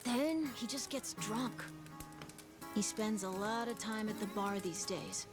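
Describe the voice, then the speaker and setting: A woman's voice: medium pitch, takes it slowly, lightly acted. A woman voicing a restless, eager young island boy with an American accent and casual speech in a video game cutscene. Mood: glum, restless. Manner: casual, youthful talk. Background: game music.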